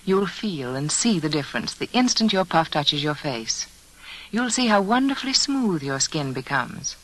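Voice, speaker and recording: Woman as vintage radio commercial hostess, polished and persuasive, low-set voice with lively melody. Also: rough recording. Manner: polished, persuasive, carefully phrased. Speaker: commercial spokeswoman. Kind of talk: scripted 1940s radio advertisement.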